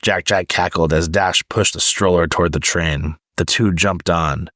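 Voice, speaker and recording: Low-voiced man reading a children's story. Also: steady tone, unhurried, fairly bright tone, no echo, studio quality. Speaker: storybook reader. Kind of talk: children's story read-aloud.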